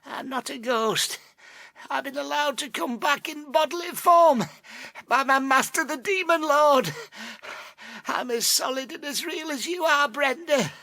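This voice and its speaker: A woman's voice: high voice, unhurried, pushed and bright, no echo, voice pushed up, heavily acted. A woman voicing a wounded, haughty male demon cat in an audio story. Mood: proud, pained.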